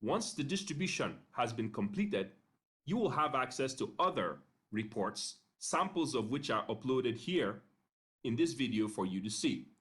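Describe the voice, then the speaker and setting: A man narrating an instructional screencast in a measured, step-by-step way, pausing briefly between phrases. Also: mid-range voice, big pitch swings, fairly bright tone. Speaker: software tutorial narrator.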